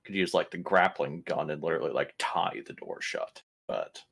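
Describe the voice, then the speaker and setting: A man's voice: rumbling low, animated, moderate pace, vocal fry, a bit bright. A man playing a tabletop roleplaying game, speaking casually in and out of character and often thinking aloud with hesitations.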